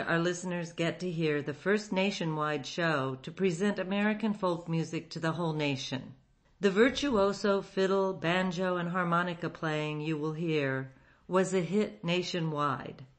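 Host for a vintage radio anthology, mid-pitched voice, scripted introductory narration. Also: unhurried. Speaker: host of an old-time radio program.

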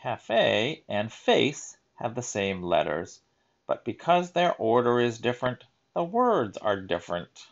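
A man reading a children's picture book aloud in a deliberate, emphatic teaching manner, adding explanatory asides. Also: mid-range voice, big pitch swings, gravelly, dull audio.

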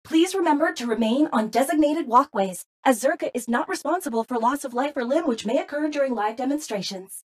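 An actress voicing a polite, formal corporate facility computer that makes scripted public-address announcements in a video game. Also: female voice, high voice, expressive, a little fast, hardly any pauses, darkish tone. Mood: welcoming, formal.

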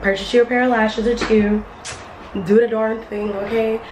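Young woman, beauty vlogger, chatty and slangy, a bit hoarse, highish voice, phone at arm's length with noisy sound. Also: level tone, normal speed, a little mellow. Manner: chatty and playful, with slang and laughs. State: hoarse, voice partly lost. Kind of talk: casual vlog chat. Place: home beauty room, indoors, and outdoors in wind. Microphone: handheld camera at arm's length. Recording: noisy handheld vlog audio.

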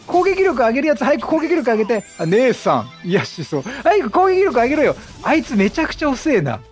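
A man doing chatty game commentary, animated, talking to himself and to the characters on screen. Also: very high-pitched, brisk, soft background music. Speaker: gameplay commentator.

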